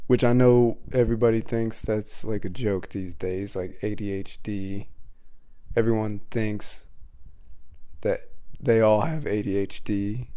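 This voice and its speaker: A man's voice: lowish voice, fairly even intonation, measured pace, dark tone, clean tone. A man hosting his own podcast alone, talking casually with a scratchy voice from a cold, rambling with frequent restarts. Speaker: podcast host; waiter. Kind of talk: casual solo podcast monologue.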